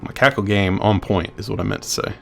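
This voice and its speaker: Deep-voiced man streaming a game. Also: fairly even intonation, brisk, gravelly. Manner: chatty, swears freely, thinks out loud. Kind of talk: casual live-stream chatter while playing.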